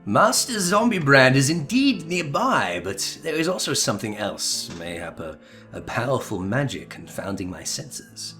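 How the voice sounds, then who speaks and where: A man voicing a courteous, florid and gently melancholy zombie emissary from a video game, with formal old-fashioned diction. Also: mid-range voice, big pitch swings, measured pace, faint music behind, exaggerated voice. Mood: solemn. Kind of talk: melodramatic video game dialogue reading.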